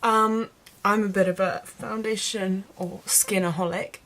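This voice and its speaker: A woman's voice: medium pitch, fairly even intonation, a little slow, a bit bright. A woman with a mid-range voice talks in the casual style of a beauty vlogger. She explains each step informally, addressing viewers directly as in a home-style tutorial chat.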